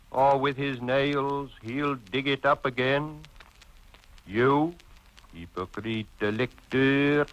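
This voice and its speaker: A man giving a dramatic poetry reading with grave, deliberate phrasing. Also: medium pitch, a bit bright, low-quality recording.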